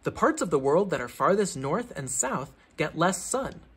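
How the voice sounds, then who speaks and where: A man reading a children's science book aloud like a teacher, with theatrical emphasis and clear explanatory phrasing. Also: a little high, sing-song, normal speed.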